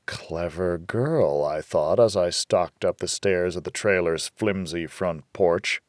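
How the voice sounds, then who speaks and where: A man's voice: deep voice, slow, a little mellow, dry recording, studio quality. A man narrating a hardboiled noir audiobook in the first person, wry and world-weary.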